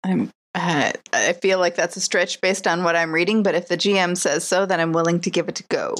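A woman's voice: on the low side, brisk, fairly bright tone. A woman playing a tabletop roleplaying game, improvising casually and thinking aloud.

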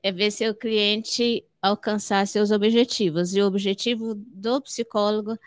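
A woman's voice: mid-range voice, steady tone, a little slow, no room sound, clean studio sound. An older woman from Fortaleza, answering interview questions in an explanatory way, repeating phrases for emphasis. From Fortaleza, Ceará, northeastern Brazil. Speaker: podcast guest, psychologist.

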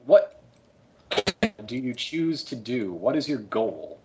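A man's voice: mid-range voice, sing-song, slow pace, a little mellow. A man running a tabletop game as game master, describing scenes with many hesitant fillers. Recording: muffled lo-fi recording.

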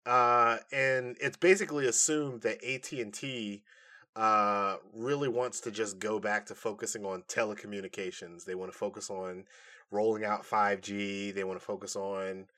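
A man hosting a podcast, explaining things in a casual, animated and informal way. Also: lowish voice, normal speed. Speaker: podcast host. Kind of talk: casual nerd-news podcast chat.

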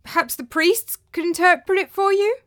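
A woman's voice: high voice, animated, fairly quick, fairly bright tone, voice pushed up. A woman voicing an anxious, deferential male messenger in a story, earnest and hesitant.

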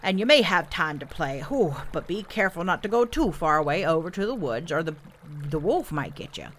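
Woman playing a hearty, animated old grandpa beaver. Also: lowish voice, brisk. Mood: approving, cautioning. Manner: puffing, hearty, instructive. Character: energetic, kindly old grandpa beaver teaching boys.

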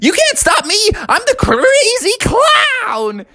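Guy doing a goofy frog clown for a kids story, high and bouncy, big show-off. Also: huge pitch swings, slow pace, pushed and bright, heavily acted. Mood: wild, manic. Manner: boastful, exclamatory showboating. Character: Froggy, a zany young male frog circus clown.

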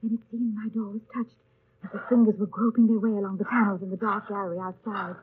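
Husky, reserved young governess, old radio. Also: female voice, mid-range voice, little pitch movement, quick pace. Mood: hushed, tense. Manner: restrained, grave, polite. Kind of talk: 1930s radio drama acting and first-person narration. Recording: muffled, tinny 1930s radio broadcast.

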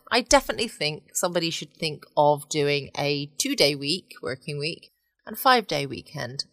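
A woman with an English accent and a low-pitched voice chats as a bedtime podcast host. She is relaxed and gently self-mocking. The recording is close and dry, made at home. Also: dry recording. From England. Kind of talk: casual late-night bedtime chat.

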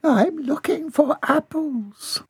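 A man's voice: very high voice, slow, darkish tone, slightly rough, no room sound, clean studio sound, pitched above the performer's own voice, exaggerated voice. A man voicing a dotty, stubborn old mother in a storybook, with a batty, indignant manner. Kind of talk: storybook character voice.

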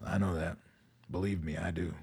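Male actor as weary army colonel, very husky, deepish voice, fatherly authority, measured radio drama delivery. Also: flat delivery, darkish tone, exaggerated voice. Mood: firm, reassuring. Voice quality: gravelly, husky.

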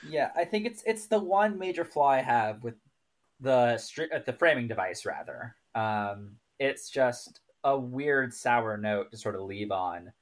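Male podcast co-host, medium pitch, reflective analyst in casual conversation, rewording as he goes. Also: expressive, measured pace.

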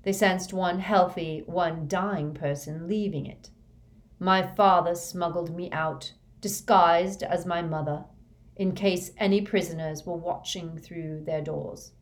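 A lowish-voiced, dazed fanatical servant, played by a woman reading an audiobook. He confesses emptily, in a flat and expressionless drone, as if drugged. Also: measured pace, close to an everyday voice. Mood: dazed, emotionless. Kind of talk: audiobook character voice.

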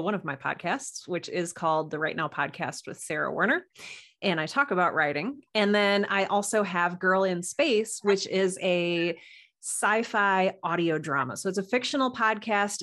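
A woman with a medium-pitched voice, from the United States, speaks as a guest on a podcast. She explains things in a chatty, conversational way. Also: a bit bright. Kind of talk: casual conversational interview.

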